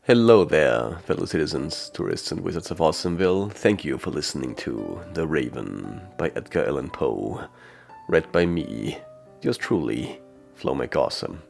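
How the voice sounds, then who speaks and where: A man's voice: very deep voice, big pitch swings, normal speed, vocal fry, a little mellow, faint music behind. A man speaking as a channel host, giving a showy, grandiose sign-off to viewers. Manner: theatrical, grandiose.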